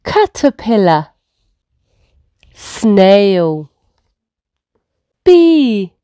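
A woman storyteller speaks to very small children in an encouraging, simple way. Her delivery is extremely slow, with a lively, sing-song rise and fall in pitch. The sound is dry and close. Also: smooth, no room sound. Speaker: children's read-aloud storyteller. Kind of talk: reading first words aloud to toddlers. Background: faint music.

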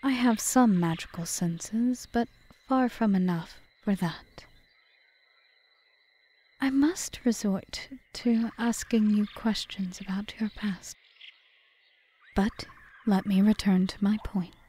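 A woman playing a cunning, possessive, seductive witch in an audio roleplay, speaking in a formal, measured, softly whispery way. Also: mid-range voice, slightly rough. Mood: persuasive. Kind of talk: ASMR audio roleplay, scripted monologue.

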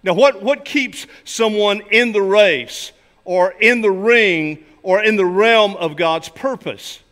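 An older male pastor preaches from the pulpit of a large church. His voice is high and forceful, and his delivery is deliberate and emphatic. The sound carries the long reverberation of a big hall. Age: older, 60s or 70s. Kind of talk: sermon with illustrations and scripture readings.

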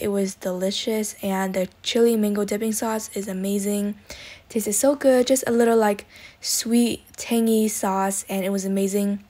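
A woman's voice: a little high, darkish tone. Gushing young woman reviewing food. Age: young adult. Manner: gushing, repeats superlatives. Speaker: food vlogger. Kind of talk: casual food review voice-over.

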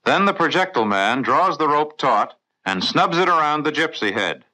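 A man with an American accent reading scripted mid-century instructional film narration for the navy in a clear, methodical, authoritative way. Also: fairly high pitch, very animated, normal speed, bright, forceful tone, rough recording. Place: voice-over recorded in a studio.

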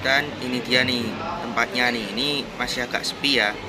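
A young man chats casually through his travel vlog in an even, middle-range voice. A camera mic picks him up inside a moving car. Heavy engine and road noise sits under everything he says. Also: medium pitch, moderate pace. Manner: easygoing, commenting as he goes. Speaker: vlogger. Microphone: camera or phone mic.

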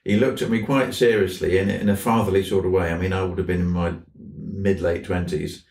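A man in his seventies from southwest England, reminiscing in an unhurried, anecdotal way with old-fashioned turns of phrase. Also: low voice, steady tone, vocal fry, darkish tone. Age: 70s. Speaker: guest, fly fishing instructor. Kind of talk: reminiscing interview conversation. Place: indoors in a tackle shop. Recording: roomy, slightly distant mic.

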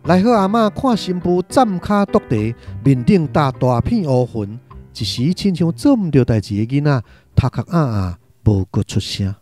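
語調生動、音偏高的台灣男說書人. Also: male voice, on the high side, normal speed, faint music behind. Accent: Taiwanese. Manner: expressive, literary. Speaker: narrator. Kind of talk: dramatic storytelling narration in Taiwanese Hokkien.

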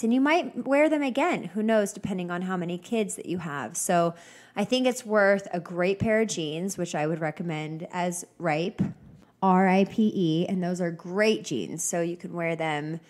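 A young woman talks casually on her own podcast, upbeat and rambling with lots of little fillers. Her voice sits a touch low and comes out slightly nasal and congested, as if she is getting over a cold. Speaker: podcast host. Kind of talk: casual solo podcast chat.

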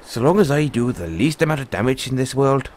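Man acting a musing, free-spirited dragon rider, animated pitch. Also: brisk, a little hiss or hum, lightly acted. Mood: proud, carefree. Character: free-spirited, idealistic male dragon rider, kindly mentor. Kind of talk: audio roleplay monologue.

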